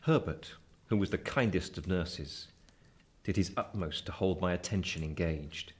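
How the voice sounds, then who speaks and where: An actor playing a grave gentleman narrator recounting his past in a radio play, with solemn, literary phrasing. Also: low voice, unhurried. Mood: somber. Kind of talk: dramatic scripted narration.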